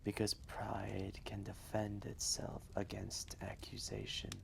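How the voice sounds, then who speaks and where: An ancient dragon, voiced by a man, speaks low and very slowly in a deep crackling growl. He is wise, gentle and fatherly, murmuring his tale in intimate, hushed roleplay storytelling. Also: completely flat delivery, exaggerated voice. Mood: reflective, solemn. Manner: hushed, breathy, measured, with long pauses.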